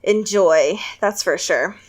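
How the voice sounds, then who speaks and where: A woman's voice: medium pitch, slow. A woman podcast co-host in casual, dramatic fangirl chat about a book, full of slang and giggles. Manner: giggly, laughs often.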